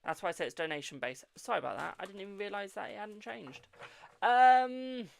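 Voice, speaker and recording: Gravelly-voiced man reading tarot cards. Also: high-pitched, a little fast, slight background noise. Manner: chatty and reassuring, calls viewers "my darling". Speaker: tarot reader hosting a live stream. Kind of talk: live-stream card reading, answering viewer questions.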